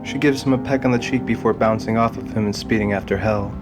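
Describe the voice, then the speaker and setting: A man narrates a scripted audio drama in a fairly low voice. Music plays clearly behind his storytelling. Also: steady tone, fast talker.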